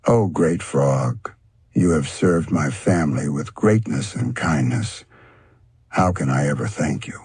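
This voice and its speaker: A grateful young hound from a storybook, played by a man. He speaks earnestly and courteously in a very raspy, closely recorded dry voice. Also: very deep voice, unhurried, a little mellow, no room sound, full character voice.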